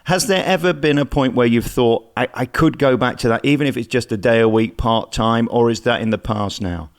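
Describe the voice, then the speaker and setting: A man's voice: mid-range voice, a little fast. A man with an English accent interviewing a guest in a conversational, complimentary way. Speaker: radio interviewer.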